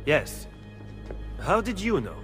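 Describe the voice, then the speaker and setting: Composed schemer, lively intonation. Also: male voice, fairly high pitch, takes it slowly, soft background music, exaggerated voice. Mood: businesslike. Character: composed, scheming Italian political adviser. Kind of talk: dramatic video game acting.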